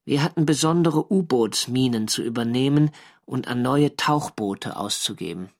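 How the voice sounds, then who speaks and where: An actor playing a wry First World War sailor narrating his war diary in measured, clearly articulated German radio drama style. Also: medium pitch, lively intonation. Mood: dry recounting.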